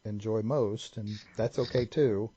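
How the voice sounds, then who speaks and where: A man co-hosting a podcast, chatting casually in a measured, dry way. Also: fairly low pitch, fairly even intonation, muffled recording, crowd noise. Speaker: podcast co-host. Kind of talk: casual chat.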